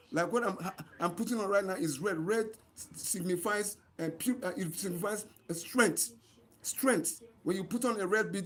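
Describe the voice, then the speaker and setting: A man with a Nigerian accent speaking earnestly to viewers as a channel host, repeating himself for emphasis. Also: sits high. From Nigeria, Delta State. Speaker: host of an online channel, NGO advocate. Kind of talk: livestream monologue addressed to viewers.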